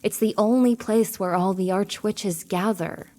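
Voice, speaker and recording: A woman's voice: mid-range voice, fairly even intonation, unhurried, mellow, natural voice. A woman playing a determined young rebel witch in a video game cutscene, speaking earnestly and formally. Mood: questioning.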